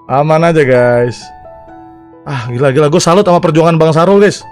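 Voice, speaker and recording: A young man with an Indonesian accent, a game streamer giving excitable, slangy live commentary and rapidly repeating his phrases. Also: on the high side. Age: young adult. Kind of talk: live esports watch-along commentary, casual slang. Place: indoor streaming setup. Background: music in the background.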